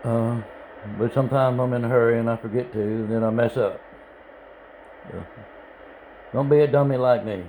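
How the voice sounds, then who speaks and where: Male hobbyist presenter, mid pitch, plain-spoken and halting, informal how-to delivery, some noise behind the voice. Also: steady tone, warm tone, low-quality recording. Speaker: hobbyist video tutorial presenter. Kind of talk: informal hands-on tutorial. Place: indoors at a home radio bench. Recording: clear background noise, room ambience.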